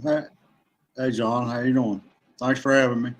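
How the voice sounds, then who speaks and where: A middle-aged man from West Virginia, chatting casually as a guest in a halting way with pauses. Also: mid-range voice, little pitch movement. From West Virginia, USA. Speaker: podcast guest, kayak angler. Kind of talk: casual chat. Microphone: remote online call.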